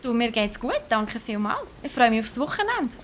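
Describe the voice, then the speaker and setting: A young Swiss woman with a Swiss German accent, talking through a game stream in a chatty, self-mocking way and tossing in English exclamations. Her voice sits a little high. A faint hiss of noise runs behind her. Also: sing-song, moderate pace. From Switzerland. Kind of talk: live gameplay commentary.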